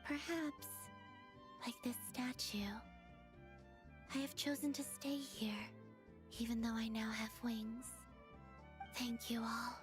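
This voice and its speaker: A woman voicing a serene young moon goddess in an anime game, gentle, detached and softly spoken. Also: a little high, over music. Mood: tender. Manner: soft, calm, unhurried.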